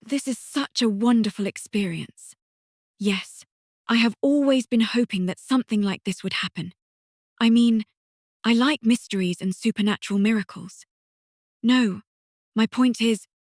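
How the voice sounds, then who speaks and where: A woman's voice: a little high, normal speed, long pauses, a little mellow, dry recording. Woman acting as a keen young noble lady from a fantasy audiobook, gushing, close dry sound. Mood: excited, eager. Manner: eager, stumbling over her words. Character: excitable young noblewoman fascinated by mysteries.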